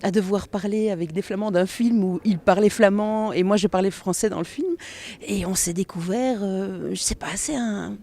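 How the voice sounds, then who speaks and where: A woman from Belgium gives a relaxed interview account. Her voice is mellow with noticeable fry, at an average pitch. She pauses and searches for words as she goes. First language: French. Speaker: actress. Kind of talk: interview, casual recounting.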